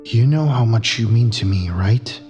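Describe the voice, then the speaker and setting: A man's voice: mid-range voice, expressive, moderate pace, a little mellow, faint music behind, natural voice. A man playing a protective, cautious crew member in an audio drama, speaking earnestly. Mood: tender.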